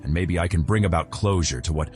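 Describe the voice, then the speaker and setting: A man playing an aloof, enigmatic counsellor in a fantasy game, speaking in a measured, formal way. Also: sits low, a little mellow, soft background music, natural voice. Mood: pensive, measured. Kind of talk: scripted fantasy game dialogue.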